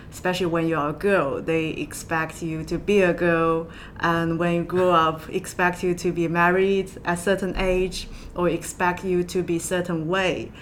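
A woman from southwest China with a Chinese accent, answering interview questions in halting, hesitant phrases. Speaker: podcast guest, accountant. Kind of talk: interview conversation. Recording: low rumble and background noise.

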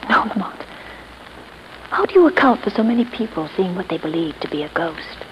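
A woman's voice: fairly low pitch, animated, brisk, muffled recording, close to an everyday voice. An actress playing a sleuth's polite, anxious young female companion in 1930s radio style. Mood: uneasy. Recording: noisy vintage 1930s radio recording.

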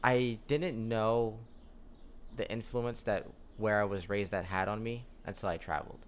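Laid-back young man with a low voice. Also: a little slow. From United States. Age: young adult. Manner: relaxed, rambling storyteller with frequent fillers. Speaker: podcast guest. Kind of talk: casual conversation.

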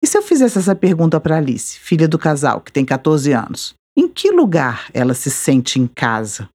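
A Brazilian woman hosting a podcast, reading a measured, reflective scripted narration. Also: deep voice, huge pitch swings, mellow, breathy edge. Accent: Brazilian Portuguese. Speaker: podcast host.

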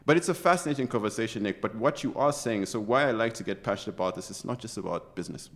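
Earnest Ugandan-born man, passionate and low. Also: quick pace. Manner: earnest, passionate, with many restarts. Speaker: interview guest, tech executive. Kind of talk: conversational interview.

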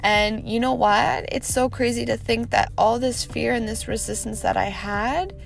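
Snuffly woman w a cold, pep-talk podcast host, encouraging, bit high voice. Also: fairly even intonation, normal speed, creaky, soft background music. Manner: upbeat, encouraging, laughs often. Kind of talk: motivational solo podcast talk.

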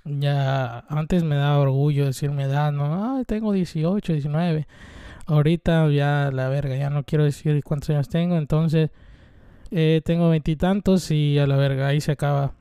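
A man's voice: a little high, fairly even intonation, moderate pace, warm tone, clean tone, no room sound, clean studio sound. A man in his twenties from Veracruz with a Mexican Spanish accent, hosting a rambling, irreverent solo show full of fillers and casual swearing. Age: 20s. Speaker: podcast host.

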